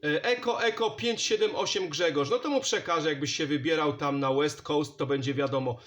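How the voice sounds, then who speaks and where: A man's voice: fairly high pitch, a little fast, noisy background. A man chatting casually and in a friendly way as a CB radio operator. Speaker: CB radio operator and channel host. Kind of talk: casual CB radio contact chat. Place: indoor home radio station.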